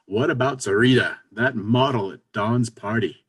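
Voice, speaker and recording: A man's voice: medium pitch, normal speed, fairly bright tone, husky. A man playing a pragmatic, impatient but encouraging businessman friend in a stage comedy reading, with emphatic delivery. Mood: brisk, practical. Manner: pushy, exasperated, emphatic. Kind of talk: stage comedy readers theater.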